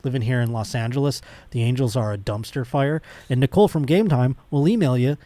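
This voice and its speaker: A man's voice: mid-range voice, a little fast, warm tone, no room sound. A man in his late thirties hosting a casual comedic podcast, talking in a blunt, slangy way with some swearing. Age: late 30s. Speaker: talk show host.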